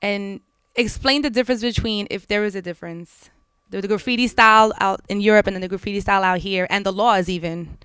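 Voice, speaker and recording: A woman's voice: mid-range voice, fairly even intonation, fairly quick, a bit bright, clean tone, dry recording. A woman interviewer asking casual, chatty questions. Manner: chatty, loose phrasing.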